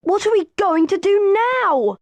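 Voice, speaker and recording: A woman's voice: extremely high pitch, lively intonation, a little slow, a little mellow. A woman voicing a brave, determined young boy wizard in a video game, earnest and resolute.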